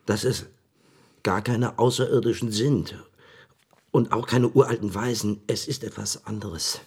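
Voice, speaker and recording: An actor in a radio drama playing an agitated, insistent man who argues wild theories with deliberate, dramatic phrasing. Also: on the low side, fairly even intonation, breathy edge. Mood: tense, brooding.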